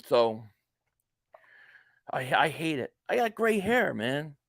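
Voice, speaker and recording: A man's voice: a little high, big pitch swings, takes it slowly, creaky, fairly bright tone, no room sound, clean studio sound. A man in his late forties hosting a casual live stream, rambling and joking as he reads viewer comments aloud. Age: 40s. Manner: rambling, chatty, jokey asides. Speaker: live stream host reacting to a football game.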